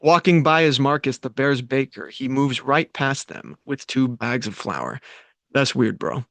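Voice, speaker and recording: A man with a middling pitch reads stage directions as scripted narration. The sound is close and dry. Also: animated, measured pace, dry recording.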